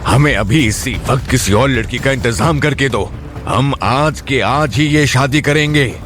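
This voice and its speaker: A man's voice: medium pitch, unhurried, a bit bright, a little hiss or hum, lightly acted. A man playing a stern, demanding older man of authority in an audio drama, formal and commanding. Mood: angry, demanding. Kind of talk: Hindi audio drama acting. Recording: music bed under the voice.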